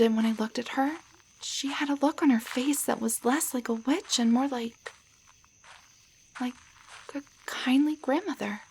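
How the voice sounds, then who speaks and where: A woman playing a timid young waitress shrunk into a doll, in a roleplay audio drama, speaking in a halting, stammering way. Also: fairly high pitch, darkish tone, slight background noise, poor audio.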